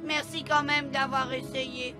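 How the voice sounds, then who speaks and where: Extremely high voice, polite cartoon alien envoy, solemn, formal, music behind. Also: female voice, level tone, unhurried. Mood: hopeful, polite. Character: earnest, polite alien envoy from a barren planet. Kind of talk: French cartoon dub.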